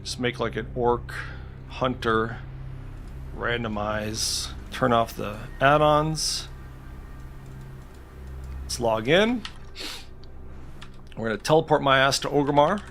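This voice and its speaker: A man's voice: medium pitch, slow, gravelly, long pauses, a bit bright, a little hiss or hum. A man giving an informal, unscripted tech walkthrough, hesitant and thinking aloud, with the odd mild swear. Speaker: tech video creator. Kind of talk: casual unscripted screen-share walkthrough. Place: indoors at a computer.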